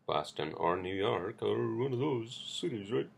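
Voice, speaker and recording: A man's voice: fairly low pitch, animated, moderate pace, creaky, slight background noise. A man hosting a casual solo podcast, rambling loosely with lots of fillers, restarts and swearing, speaking English with an accent. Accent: non-native English accent. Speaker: podcast host.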